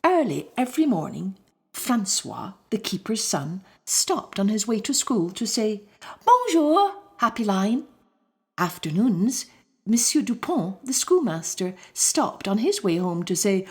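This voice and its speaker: Slow, expressive woman reading a storybook. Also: medium pitch. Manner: expressive, deliberate. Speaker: storybook reader. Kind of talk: scripted children's story narration.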